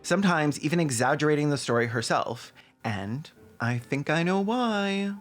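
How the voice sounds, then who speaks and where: A man's voice: on the high side, lively intonation, normal speed, faint music behind. A man hosting a scripted comedic commentary with casual asides and a sarcastic, irreverent manner. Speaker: video essayist, host.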